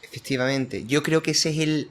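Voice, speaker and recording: Spanish guy hosting a podcast, spain accent, slightly high voice, just chatting. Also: steady tone, moderate pace, a little hiss or hum. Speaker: podcast host, interviewer. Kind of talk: casual conversational interview.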